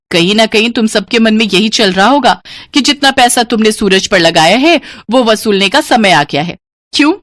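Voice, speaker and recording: Haughty young city sister-in-law, high and scornful woman acting. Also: a bit bright, no room sound, clean studio sound. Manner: scornful, curt, accusing. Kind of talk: Hindi moral cartoon dubbing.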